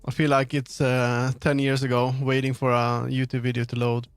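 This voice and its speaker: Casual male livestreamer, average pitch, muttery and wandering delivery, light music behind. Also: monotone, moderate pace, clear, resonant tone, no echo. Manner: rambling, muttering to himself, jumping between thoughts. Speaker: streamer.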